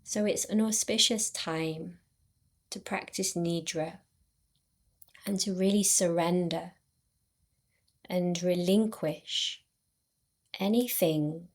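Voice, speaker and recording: A woman guiding a yoga nidra relaxation in a soothing, unhurried way, with long pauses between short phrases. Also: lowish voice, steady tone. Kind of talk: guided meditation.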